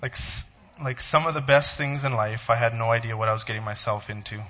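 A man, a pastor, reading a blog aloud to his church in a halting, emotional voice. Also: mid-range voice, sharp tone, dull audio. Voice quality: tearful, halting.

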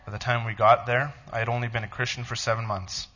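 A man, a pastor, reading a blog aloud to his church in a halting, emotional voice. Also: mid-range voice, flat delivery, bright, forceful tone, dull audio, slight background noise. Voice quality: tearful, halting.

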